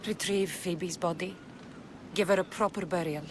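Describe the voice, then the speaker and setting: A woman's voice: on the low side, steady tone, normal speed, noisy background, lightly acted. Determined woman warrior played by an actress. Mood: firm, urgent. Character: fierce, protective Greek mercenary woman hero. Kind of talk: dramatic video game acting. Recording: dramatic music behind the voice.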